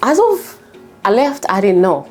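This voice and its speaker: A woman's voice: mid-range voice, sing-song, measured pace, faint music behind. A woman in her thirties from Tanzania, speaking as an interview guest in casual, personal storytelling, informally and repeating herself with lots of filler phrases. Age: 30s. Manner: informal, repeats herself, many "like" and "you know".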